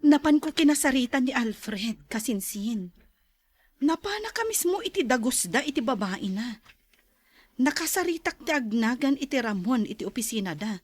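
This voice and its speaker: Woman acting an earnest, lively-voiced confidante. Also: a little high, moderate pace, no echo. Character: earnest female cousin and confidante, counselling. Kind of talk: radio-style drama acting.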